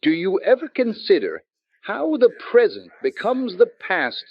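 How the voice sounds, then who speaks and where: A tipsy barroom philosopher from an old radio mystery. He drawls slowly and grandly, his fairly high voice swooping extravagantly with wry theatrical flair. The recording is dry and somewhat muffled. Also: huge pitch swings, husky, dry recording, heavily acted. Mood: grandiloquent, musing. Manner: florid, rambling, grandiloquent. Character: boozy, philosophizing male ex-doctor barfly, theatrical and wry. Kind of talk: old-time radio comic-relief acting.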